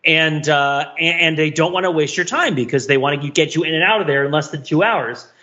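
Bright, forceful man, slightly high voice. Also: brisk, dull audio. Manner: animated, digressive, wryly analytical. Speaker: podcast co-host. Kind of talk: casual film discussion, rambling commentary.

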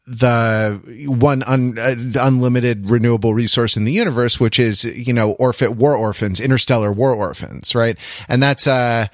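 A man's voice: medium pitch, dry recording, clean studio sound. A man co-hosting a podcast, talking casually and analytically, searching for words and rephrasing himself as he goes. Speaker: podcast co-host.

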